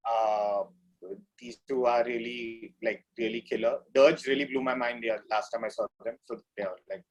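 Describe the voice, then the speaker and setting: A man's voice: mid-range voice, fairly even intonation, normal speed, dull audio. A man with an Indian accent speaking as a musician guest in a casual interview, rambling and informal with slangy wording. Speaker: drummer in a death metal band, interview guest.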